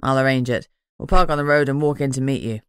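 Woman putting on a male werewolf deputy, low, clipped, polite and even, audiobook. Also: fast, clear, resonant tone, no echo, studio quality. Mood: coolly courteous. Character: courteous, restrained male werewolf second-in-command.

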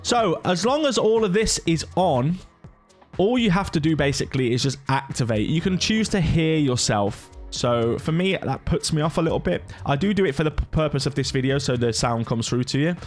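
Chatty man giving a casual software walkthrough. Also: on the high side, animated, normal speed, soft background music. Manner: chatty, informal. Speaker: gaming content creator presenting software. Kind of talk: casual tutorial commentary.

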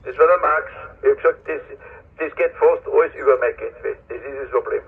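A man's voice: medium pitch, brisk, vocal fry, slightly rough. A man with an Austrian accent chatting casually through a CB radio speaker. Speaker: CB radio operator running a gateway. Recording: muffled noisy radio speaker, picked up outdoors.

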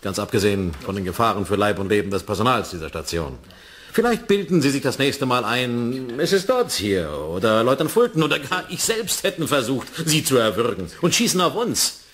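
An actor playing a smug, sardonic military commander in a German radio play, speaking with mocking condescension. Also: male voice, medium pitch, fairly bright tone.

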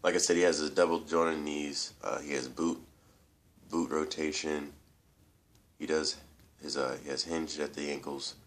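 A man's voice: rumbling low, fairly even intonation, moderate pace, pauses often. A young man with a stuffy, congested voice, rambling casually to viewers as he reviews a toy at home. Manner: rambling, loose, repeats fillers and addresses viewers as guys. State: congested, nasal from allergies. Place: room at home, indoors.

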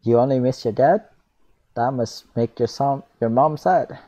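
A man's voice: medium pitch, a little slow, dark tone, lightly acted. A man voicing a friendly, curious taxi driver while reading a visual novel aloud.

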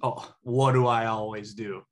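A man's voice: lowish voice, fairly even intonation, unhurried, close to an everyday voice. A man playing a sheepish, easygoing best friend in a sitcom table read, hesitant and joking. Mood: evasive. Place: dim indoor room at home on video call. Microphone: video call.

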